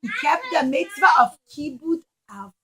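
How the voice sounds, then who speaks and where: A woman teaching young children a religious story in an expressive way, acting out the dialogue and putting questions to her listeners. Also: sits high, normal speed, crowd noise. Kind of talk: storytelling puppet show lesson for young children.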